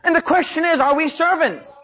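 A man's voice: extremely high pitch, fairly quick, pushed and bright, muffled recording. A man preaching a sermon as a missionary, talking casually and rising emphatically on his key points. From Mexico.

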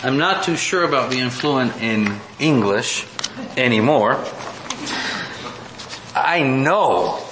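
Male preacher, self-deprecating and rambling, mid-pitched voice, roomy hall sound with faint background noise. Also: expressive, slow pace, pauses often. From born in the United States, raised in Mexico. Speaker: missionary preacher. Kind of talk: informal report to a church congregation. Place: church meeting room.

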